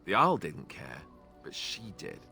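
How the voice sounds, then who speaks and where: Young male game warrior, low voice, wry, self-deprecating, sarcastic, music underneath. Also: wildly swooping pitch, measured pace, pushed and bright, full character voice. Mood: reflective. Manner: sarcastic, joking deflection. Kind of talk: video game character dialogue.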